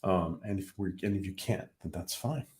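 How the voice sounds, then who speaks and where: A man hosting a casual livestream who is losing his voice. He talks haltingly, with many ums and restarts. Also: deep voice, a little mellow. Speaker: livestream host.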